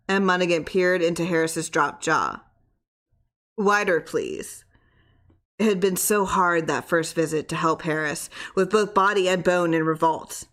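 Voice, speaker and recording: A woman reading a horror story as narrator, dramatic, expressive and suspenseful. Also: lowish voice.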